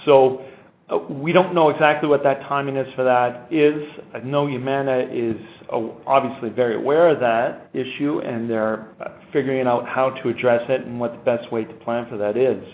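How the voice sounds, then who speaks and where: A man's voice: medium pitch, steady tone, normal speed. Man on a phone-line earnings call. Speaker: company executive. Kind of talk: earnings call presentation and answers. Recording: muffled phone-line conference audio.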